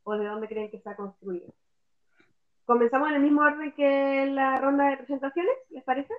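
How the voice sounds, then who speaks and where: A Chilean woman hosts a casual community podcast in an upbeat, guiding way, often pausing on "eh". Her voice sits a little high. The sound is somewhat dull and lo-fi. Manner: upbeat, guiding with frequent "eh" fillers. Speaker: podcast host. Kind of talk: casual radio-style podcast hosting.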